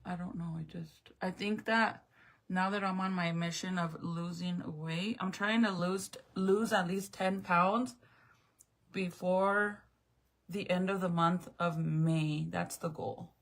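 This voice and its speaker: A woman in her late thirties talks casually to her livestream viewers in a low, gravelly voice. She rambles from one thought to the next. She is frank and drops the occasional swear word. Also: fairly even intonation, slow. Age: 30s. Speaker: livestream host.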